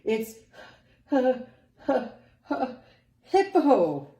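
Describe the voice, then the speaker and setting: A woman voicing a big, gruff, teasing male rhinoceros in a children's storybook. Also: high-pitched, very animated, extremely slow, dark tone, voice pushed up, full character voice. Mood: helpless laughing. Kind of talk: children's storybook read-aloud. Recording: roomy, distant mic, faint noise.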